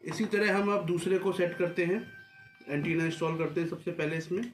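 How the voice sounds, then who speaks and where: Guy doing a casual unboxing, highish voice, roomy sound w a bit of noise. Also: steady tone, brisk. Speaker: vlogger presenting a product unboxing. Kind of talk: casual hands-on demonstration. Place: small furnished office room, indoors. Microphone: camera a few feet away across a desk. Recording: roomy echo, faint background noise.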